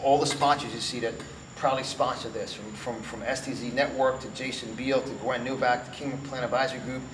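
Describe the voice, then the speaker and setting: A man's voice: medium pitch, steady tone, a little fast. A middle-aged man with a New York accent giving a promotional interview, speaking emphatically and repeating phrases for effect. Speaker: guest, event organizer and motivational speaker. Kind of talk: promotional interview talk with personal storytelling. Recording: roomy echo, clear background noise.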